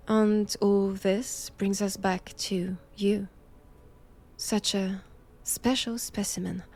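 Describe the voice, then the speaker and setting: A woman's voice: mid-range voice, creaky, a little mellow. A woman with a French accent playing a stern, righteous vampire-hunting nun in a roleplay audio drama, speaking in a formal, commanding manner. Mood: fascinated, clinical. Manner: slow, deliberate, formal, commanding.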